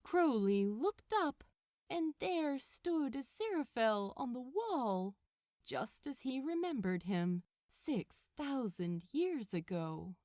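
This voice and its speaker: A woman reading a story aloud in an expressive storybook narration style. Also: high voice, takes it slowly, no room sound, clean studio sound. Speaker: fan fiction narrator.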